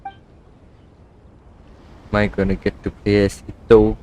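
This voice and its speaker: A man's voice: fairly low pitch, level tone, unhurried, dark tone, a little hiss or hum. A man streaming a video game, giving casual live commentary as he plays. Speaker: gaming streamer.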